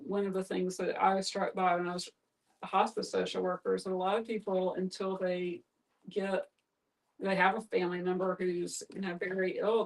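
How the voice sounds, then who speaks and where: A woman with a noticeable fry in her voice talks as a social worker in a group discussion. She explains things in a measured way. The microphone picks her up from some distance. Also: lowish voice.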